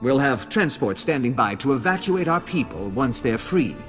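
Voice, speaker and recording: An actor playing an earnest, principled senator in a video game, speaking emphatically. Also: male voice, medium pitch, very animated, normal speed, fairly bright tone. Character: earnest indignant male senator. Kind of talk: video game cutscene dialogue. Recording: long reverberant hall, faint music.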